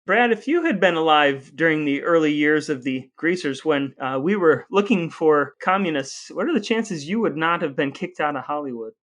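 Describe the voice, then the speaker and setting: A man with a voice a bit higher than most hosts a casual talk show. He jokes and teases in relaxed back-and-forth, playing the part of quizmaster for his co-host. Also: moderate pace, few pauses. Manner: joking, teasing. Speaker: podcast host, playful quizmaster. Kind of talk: casual banter between brothers.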